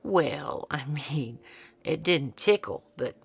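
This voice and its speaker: Creaky, sardonic woman researcher, acted by a woman. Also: low voice, lively intonation, slow, full character voice. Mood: sardonic, resolute. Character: weary, sardonic, stubborn woman researcher and doctor. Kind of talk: audio drama acting.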